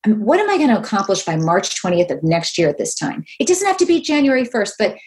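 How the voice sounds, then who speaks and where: A woman's voice: mid-range voice, fast talker. A woman in her fifties hosting a podcast, talking with enthusiasm and acting out quotes in casual chat. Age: 50s. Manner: enthusiastic, animated, quotes herself and others. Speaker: podcast host.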